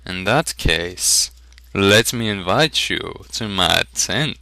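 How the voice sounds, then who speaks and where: Man acting smooth-talking male merchant, courtly, salesman-like, very bright forceful voice, unhurried, fantasy storybook. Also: low voice, animated, no room sound, heavily acted. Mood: smooth, probing. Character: smooth male trader, salesman-like, secretive, easily irritated. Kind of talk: fantasy audiobook character reading.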